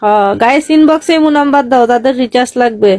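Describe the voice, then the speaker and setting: A woman's voice: high voice, quick pace, darkish tone, dry recording, clean studio sound. A young woman chatting casually and insistently on a livestreamed video call.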